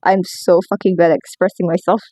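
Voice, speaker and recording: A woman's voice: fairly low pitch, little pitch movement, fairly quick, mellow, no room sound. A woman talking casually in a rambling, informal audio log, self-deprecating and swearing freely as she gives her opinions. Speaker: video creator giving commentary.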